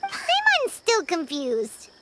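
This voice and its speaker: A woman voicing a tiny, excitable, childlike fairy companion in a video game, squeaky and prone to blurting things out. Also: slow pace, very noisy, heavily acted. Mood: confused. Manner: squeaky, blurting, refers to self in third person. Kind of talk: scripted cartoonish video game acting.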